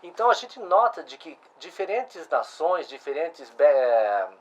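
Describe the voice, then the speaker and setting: Middle-aged man, chatty interview host, voice sits a little high. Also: sing-song, measured pace, muffled recording. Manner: conversational, restarts and asks follow-up questions. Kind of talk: casual interview chat.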